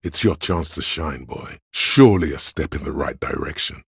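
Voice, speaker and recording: Gravelly, very deep seductive tempter. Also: male voice, animated, normal speed, dry recording, clean studio sound, full character voice. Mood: goading. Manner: coaxing, insinuating, drawling. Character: seductive, hedonistic inner voice of addiction urging indulgence. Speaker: video game voice actor. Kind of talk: theatrical second-person game narration.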